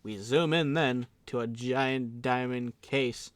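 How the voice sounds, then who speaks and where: A man's voice: mid-range voice, steady tone, slow, no room sound. A man narrating an audio drama in a theatrical announcer style, slipping into offhand comic asides. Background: music.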